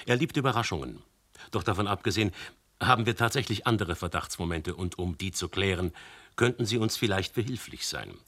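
An actor playing a measured, politely insistent police commissioner in a radio drama. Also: male voice, sits low, lively intonation, a bit bright, breathy edge, heavily acted. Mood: cool. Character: calm, probing male police commissioner. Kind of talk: German radio drama acting.

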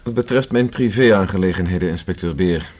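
An actor in a Dutch radio play playing a guarded, haughty gentleman under police questioning, speaking in a stiff, precise, formal way. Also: deep voice, brisk. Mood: stiff, reluctant.

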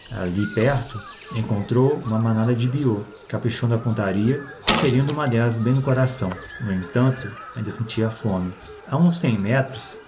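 A man reads a storybook tale as its narrator. His delivery is measured and scripted, at an average male pitch, with faint crickets and nature sounds in the background. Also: background noise. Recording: faint nature ambience, insects behind.

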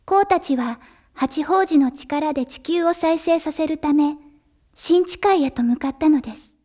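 A gentle young girl narrating an anime tale. Her voice is high, and she tells the story politely and earnestly. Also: measured pace. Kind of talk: scripted anime drama narration.

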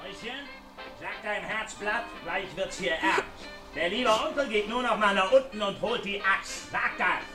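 An actor playing a wounded, menacing intruder who mocks and taunts through a door in a German radio crime play. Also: male voice, high voice, normal speed, distant mic, music in the background, lightly acted. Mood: threatening. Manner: jeering, mock-polite, swinging from muttering to shouting. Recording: echoey radio play, off the mic.

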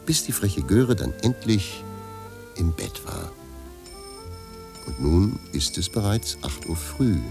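A man reading wry scripted narration for a radio play in a gravelly voice. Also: low voice, big pitch swings, takes it slowly, pauses often, over music.

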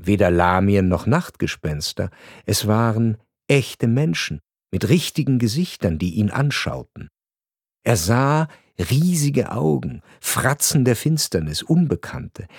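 A man reading a scripted radio narration in a grave, measured storytelling manner. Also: medium pitch, husky, dry recording, studio quality.

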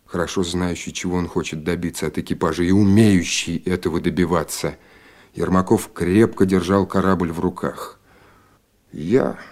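A man's voice: sits low, darkish tone. An actor playing a Soviet submarine officer who tells his own story in an earnest, introspective radio drama narration. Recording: 1980 radio play, guitar music behind. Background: guitar music.

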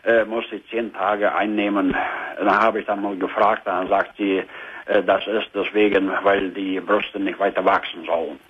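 A man in his fifties with a Dutch accent, calling a radio show and searching haltingly for German words. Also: on the low side, little pitch movement, slightly rough. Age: 50s. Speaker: caller. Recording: tinny phone line.

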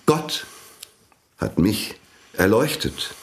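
A man's voice: very animated, drawn-out words, full character voice. An actor playing a domineering priest who preaches about the language he invented, pompous and zealous. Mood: grandiose. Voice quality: pompous, preaching, sweeping pitch. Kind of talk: radio play acting. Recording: echoey studio radio play.

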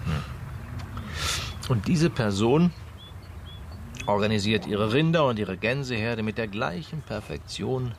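An actor playing a dry-humoured, probing police inspector in a German radio crime drama, speaking deliberately with musing hums. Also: male voice, on the low side, gravelly, slight background noise, heavily acted.

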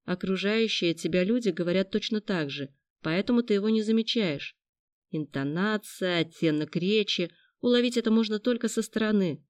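A reader voicing a confident, sharp-witted young law student in an audiobook, speaking in an assured, explaining way. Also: mid-range voice, moderate pace, no room sound, natural voice.

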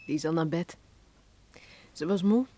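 Young rural sweetheart, played by a woman: mellow, very breathy, gentle and devoted, radio play style. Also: fairly low pitch, normal speed, close to an everyday voice. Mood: calm. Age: young adult. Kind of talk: radio drama acting.

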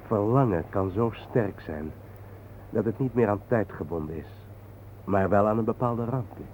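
An actor playing a calm, cryptic guide who speaks in a measured, enigmatic way in a radio play. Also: male voice, on the low side. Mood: patient, cryptic. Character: calm, cryptic male guide named Hein, explaining mysteries. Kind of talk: Dutch radio play acting. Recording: noisy, narrow-band old radio recording.

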